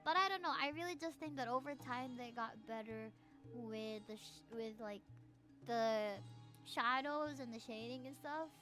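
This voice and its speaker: A young adult woman with a Filipino accent, chatting casually and playfully with viewers as she livestreams a game, slangy and expressive. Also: on the high side, moderate pace. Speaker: livestreamer playing a video game. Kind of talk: casual chat with stream viewers and reading game dialogue aloud. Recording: music playing behind the voice.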